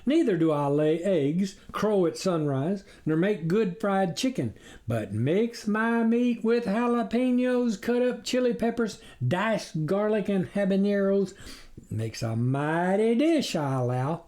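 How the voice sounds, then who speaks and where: A man's voice: high voice, expressive, a little slow, a little mellow, voice pushed up. A man voicing a sly storybook coyote who passes himself off as a duck with glib, folksy sales patter. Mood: boastful, glib. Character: sly male coyote posing as a vegan duck. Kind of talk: storybook character reading.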